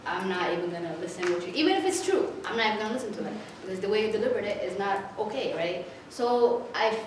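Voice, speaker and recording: A woman's voice: medium pitch, animated, fast talker. Emphatic woman from Somalia, echoey room. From southern Somalia. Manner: emphatic, keeps asking right?. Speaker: workshop participant. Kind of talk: casual group discussion. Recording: echoey room, from far off, noisy.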